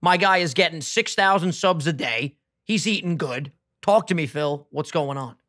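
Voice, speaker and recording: A man with a slightly raised pitch and a hoarse edge co-hosts a podcast, ribbing his friend and swearing freely. The sound is dry and close, with no room around it. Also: animated, normal speed, bright, forceful tone, dry recording. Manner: joking, teasing, swears freely. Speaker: podcast co-host. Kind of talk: casual podcast banter.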